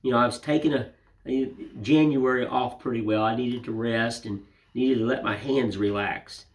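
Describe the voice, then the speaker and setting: An older man with a raspy, hoarse voice from a cold, chatting casually and rambling as he hosts his woodcarving channel. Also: mid-range voice, steady tone, normal speed. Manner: rambling, homespun, folksy filler phrases. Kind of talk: casual chat to camera.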